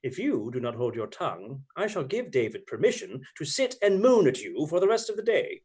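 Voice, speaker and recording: A man's voice: fairly high pitch, very animated, a little fast, darkish tone, husky. A man playing a bold, teasing, roguish outlaw leader in a radio play, with sardonic courtly manners. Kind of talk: theatrical radio play acting.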